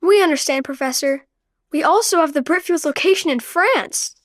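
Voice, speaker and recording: Woman putting on a high squeaky kid boy, anxious, radio play, dry sound. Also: normal speed, no echo, natural voice. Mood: relieved. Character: young orphan boy, anxious and eager.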